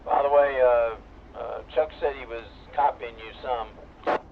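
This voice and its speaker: Relaxed man in his sixties on a ham radio. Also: mid-range voice. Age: 60s. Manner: relaxed, unhurried, teasing. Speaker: amateur radio operator. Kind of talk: radio net chat. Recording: tinny two-way radio with clear hiss.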